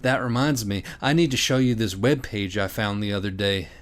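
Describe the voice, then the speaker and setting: A man's voice: on the low side, normal speed, close to an everyday voice. A man voicing an overly cheerful male office worker making hearty, corny small talk in a storybook parody. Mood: jovial.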